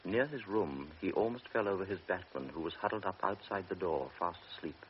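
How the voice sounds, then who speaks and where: A man narrating a radio drama in a steady, restrained manner. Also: low voice, brisk. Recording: muffled old radio recording with hiss.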